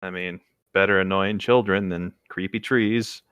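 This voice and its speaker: A man's voice: low-pitched, steady tone, measured pace, fairly bright tone. A man chatting casually and reading aloud in a group voice chat, with a rambling, hesitant way of talking. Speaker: co-reader on a group creepypasta reading show. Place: online voice chat.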